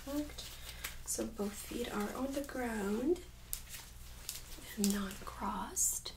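A young woman speaking in a hushed, gentle, often whispery way, playing a medical examiner in a soft-spoken ASMR role-play. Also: a little high, extremely slow, vocal fry, noisy background. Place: small indoor room set up as exam room.

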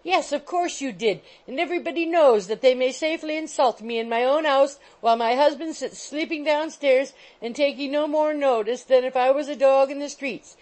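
A woman voicing an indignant, quarrelsome middle-aged landlady, in a loud scolding tirade. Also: medium pitch, big pitch swings, normal speed, few pauses, low-quality recording. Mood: shrill, sobbing. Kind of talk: audiobook character voice.

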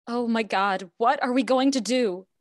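An actress playing an anxious, proud young wife in a radio play, talking casually and dramatically. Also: female voice, on the high side, moderate pace, dry recording, clean studio sound, lightly acted. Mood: panicked. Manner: casual modern speech, dramatic. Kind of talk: naturalistic radio play acting.

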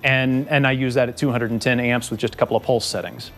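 A man's voice: mid-range voice, fairly even intonation, fast, a bit bright, soft background music. A man in his thirties, hosting his own car build channel, casually explaining his work with fillers and restarts, filmed by a nearby camera. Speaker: car builder and shop host. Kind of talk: casual how-to walkthrough. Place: outdoors under a truck, then a home garage.

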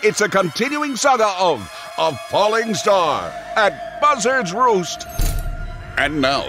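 An actor playing a bombastic, theatrical narrator of an old-time radio serial. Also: male voice, high voice, sing-song, slow, over music, exaggerated voice. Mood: breathless suspense. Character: melodramatic male serial announcer teasing cliffhangers. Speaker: narrator and announcer.

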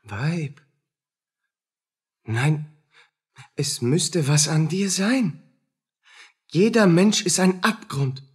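An actor playing a poor soldier in a radio play, feverish and jealous, speaking in halting, brooding fragments. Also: male voice, sits high, husky, exaggerated voice. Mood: haunted, bewildered. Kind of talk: German radio play acting.

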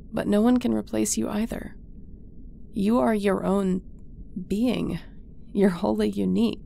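Female space captain, heavy vocal fry, tender and loyal, sci-fi audio drama, faint noise. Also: mid-range voice, dark tone. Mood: tender, reassuring. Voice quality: creaky. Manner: tender, reassuring, conversational. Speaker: voice actor. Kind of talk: audio roleplay acting.

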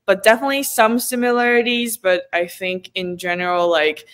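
A woman's voice: medium pitch, flat delivery, moderate pace, fairly bright tone. A woman in her twenties from China, a guest chatting casually on a video call from her home, talking with many fillers and frequent laughs. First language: Chinese. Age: 20s. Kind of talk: casual interview chat. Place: home room with kitchen shelves. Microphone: video call, laptop camera.

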